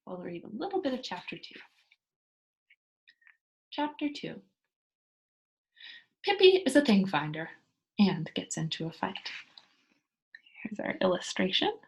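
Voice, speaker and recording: A woman reading a children's storybook aloud in an expressive narrating style. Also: medium pitch, measured pace, creaky, pauses often, breathy edge, rough recording. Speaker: storybook reader.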